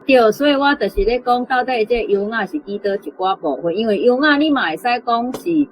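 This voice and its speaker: A woman's voice: a little high, animated, a little fast, a little hiss or hum, poor audio. A woman with a Taiwanese accent, a language teacher explaining conversationally over a remote video call. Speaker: language teacher giving feedback.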